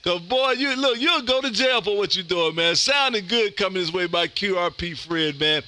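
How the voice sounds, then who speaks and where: High-pitched, bright, boisterous man. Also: animated, moderate pace. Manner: boisterous, folksy, jokey. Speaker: amateur radio host, live streamer. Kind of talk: animated ham radio contact calls and banter. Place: home radio room.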